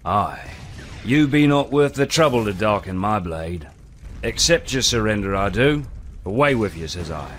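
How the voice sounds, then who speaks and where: A swaggering, flippant pirate rogue in a video game, in a low voice with lively, swinging intonation. The talk is wordy, offhand and sly, with faint noise behind it. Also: male voice, moderate pace. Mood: mock-stern. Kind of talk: video game cutscene acting.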